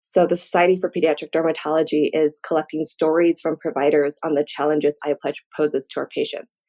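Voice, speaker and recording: A woman's voice: deep voice, level tone, fairly quick, dark tone. A woman speaking as an expert guest in an interview, explaining academic research in structured, articulate points with examples.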